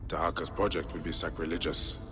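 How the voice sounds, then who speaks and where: An actor playing a weary, grieving desert warrior in a video game, solemn, brooding and determined. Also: male voice, low-pitched, little pitch movement, fairly quick, over music, natural voice. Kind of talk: dramatic video game acting.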